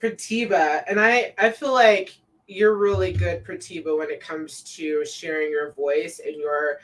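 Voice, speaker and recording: Slow-talking woman live-stream host. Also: far from the mic. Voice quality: says her own voice sounds deep to herself. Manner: relaxed, many fillers, reads viewer comments aloud. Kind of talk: casual live-stream chat.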